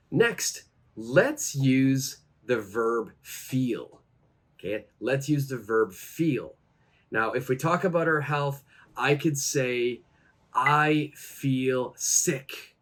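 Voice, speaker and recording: Slow, patient male English teacher. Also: medium pitch, fairly bright tone. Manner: slow and deliberate, repeating each phrase twice. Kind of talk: slow, clear English lesson to camera. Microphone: camera framed at chest height, seated.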